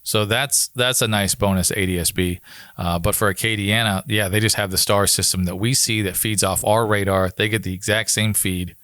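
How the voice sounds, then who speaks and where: Low-voiced man explaining casually, dry sound. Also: steady tone, normal speed, fairly bright tone, no echo. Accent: American. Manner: informal, conversational, explanatory. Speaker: air traffic controller, podcast guest.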